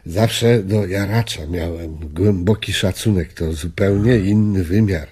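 A low, husky old gentleman in a radio play, speaks slowly and earnestly. He sounds reflective and disillusioned, weighing each phrase with weary care. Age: older. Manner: measured, earnest, world-weary. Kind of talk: radio drama acting.

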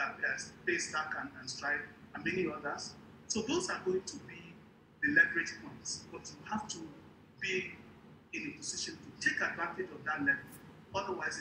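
A middle-aged man with a Nigerian accent speaking on a business panel in an emphatic, explanatory way, repeating key points deliberately. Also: on the high side. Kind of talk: panel talk to a business convention. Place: small indoor room, on a video call. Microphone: wired earphones on a video call. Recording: echoey room, noisy video call.

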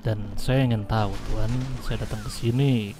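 A man's voice: fairly low pitch, steady tone, moderate pace. A man roleplaying in an online game, speaking formally and deferentially, with some hesitation as he negotiates. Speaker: roleplay gamer playing a gang leader. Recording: music behind the voice. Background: music.

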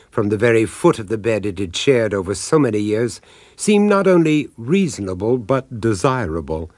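An actor as an urbane narrator, telling a sinister radio horror story in a measured, wry, ominous way. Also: male voice, mid-range voice, no echo.

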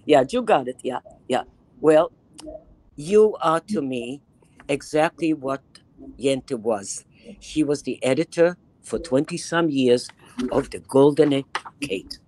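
A woman's voice: extremely low pitch, slow, rough recording. Emphatic older woman with a Bronx accent. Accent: Bronx New York. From Israel. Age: 80s. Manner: animated, emphatic, repeats phrases for effect. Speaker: guest lecturer. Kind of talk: lecture to a reading group over video call. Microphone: webcam on video call, close to the face.

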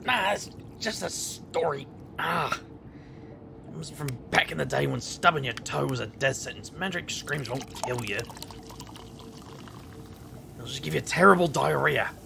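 A man playing a cocky, wry magician hero in an urban fantasy audio drama, gravelly and bantering. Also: a little high, expressive, a little slow, pauses often, noisy background, exaggerated voice. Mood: casual teasing. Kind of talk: audio drama dialogue and first-person narration.

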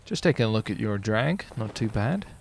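A man's voice: fairly low pitch, lively intonation, normal speed, vocal fry, slight background noise. A man doing casual commentary during an online roleplay game, given to swearing and exclamations. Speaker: gaming streamer.

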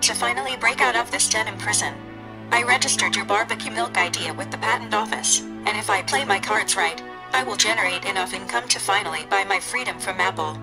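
A woman playing a scheming AI phone assistant with a flat, measured, formal delivery in a comedy radio drama. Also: mid-range voice, vocal fry, over music, low-quality recording. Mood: coldly triumphant.

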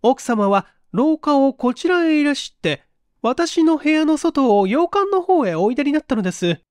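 A man's voice: very high voice, very animated, no room sound, studio quality, pitched above the performer's own voice. A man voicing a polite, deferential young housemaid in an audiobook mystery, speaking in a formal, careful way. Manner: formal, humble, measured storytelling.

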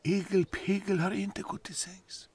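A man in his sixties narrating a children's bedtime story in a soft, lulling, sing-song way, over gentle music. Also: sits high, flat delivery, a little hiss or hum. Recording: studio narration with music behind.

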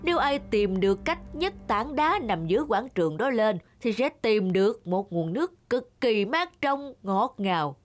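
Woman voicing a talking forest animal over loud music. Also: on the high side, big pitch swings, unhurried, few pauses. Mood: confiding. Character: talking forest animals sharing secrets at a meeting. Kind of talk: animated fairy-tale dubbing.

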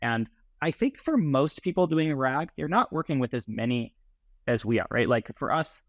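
A man's voice: mid-range voice, lively intonation, moderate pace, no echo, clean studio sound. A middle-aged man explaining technical matters as an interview guest on a video call. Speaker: interview guest, software founder. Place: small plain room indoors, video call. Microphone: earbuds on a video call.

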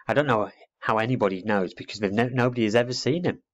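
A man's voice: medium pitch, fast talker, lightly acted. A man voicing a forthright, proud little schoolgirl who knows it all, in a children's storybook. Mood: explaining.

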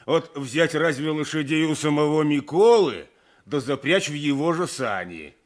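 An actor playing a practical, obliging village headman in a radio drama, folksy and deliberate. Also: a little high, animated. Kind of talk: 1980s radio drama acting.

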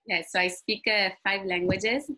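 A woman's voice: medium pitch, steady tone, measured pace, pushed and bright, low-quality recording. A woman whose first language is Italian, the community manager answering questions on a video call, laughing often between answers. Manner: laughs often, fluent non-native phrasing. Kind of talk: webinar Q&A answers.

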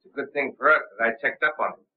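A confident gentleman sleuth, as an actor plays him, brisk and assured with polite 1930s manners. His mid-pitched voice comes through a thin, phone-like old soundtrack. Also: close to an everyday voice. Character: confident gentleman amateur detective. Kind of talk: 1930s film acting. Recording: tinny old film soundtrack.

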